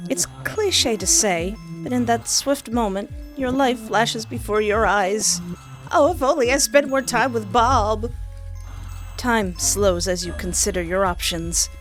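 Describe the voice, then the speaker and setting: A woman's voice: fairly high pitch, unhurried. A woman doing let's-play commentary on a video game, switching between reading narration aloud and playful, exclaiming reactions. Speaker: video game streamer playing a dating simulator. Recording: music behind the voice. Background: game music.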